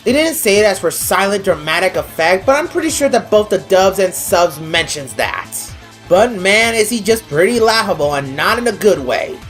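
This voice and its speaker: A woman gives a scripted review in a blunt, exasperated way, free with her swearing. Her voice sits at a middling pitch, with music playing behind it. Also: very animated, normal speed. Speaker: online anime reviewer. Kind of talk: scripted video review commentary.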